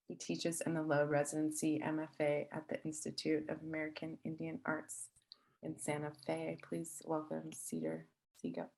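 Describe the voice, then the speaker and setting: A woman hosting an event, reading out a prepared, poetic introduction in a measured, deliberate and formal way. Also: sits low, vocal fry, a little mellow, poor audio. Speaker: event host introducing a speaker.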